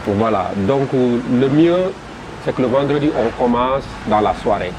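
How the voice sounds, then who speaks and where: A man with a Senegalese French accent, a festival promoter describing his event in an interview, halting and repeating words as he goes. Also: mid-range voice, animated. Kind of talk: interview answers. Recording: noisy background.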